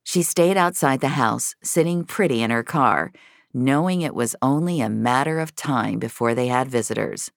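A woman's voice: very deep voice, normal speed, creaky, no echo, studio quality. A woman narrating a scripted fiction story as its storyteller.

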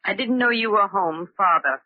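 An actress playing a resentful, strained young daughter-in-law in a 1940s radio drama. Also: female voice, mid-range voice, moderate pace, natural voice. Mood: uneasy. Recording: muffled, phone-like old radio recording.

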